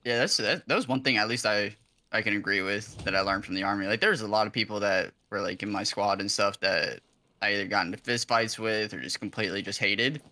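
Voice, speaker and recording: A young man and army veteran chatting casually during a game, relaxed and halting, with frequent restarts. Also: fairly low pitch. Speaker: guest player, army veteran. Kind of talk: casual chat while gaming. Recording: clear background noise, game gunfire.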